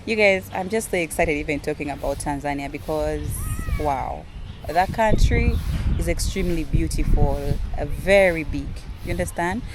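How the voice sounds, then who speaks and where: Excitable Ugandan woman vlogging outdoors. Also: deep voice, moderate pace, heavy background noise. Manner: excitable, chatty, addresses viewers as "you guys". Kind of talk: casual vlog talk to camera. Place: outdoors in a garden.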